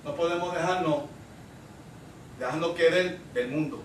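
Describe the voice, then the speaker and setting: A Puerto Rican man delivers an emphatic sermon in a high voice. He repeats his phrases and throws questions out to the congregation. The sound is roomy and echoing, picked up some way from the microphone. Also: normal speed, slight background noise. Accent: Puerto Rican Spanish. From Puerto Rico. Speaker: preacher. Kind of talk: Pentecostal Bible-study sermon. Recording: roomy echo, distant microphone.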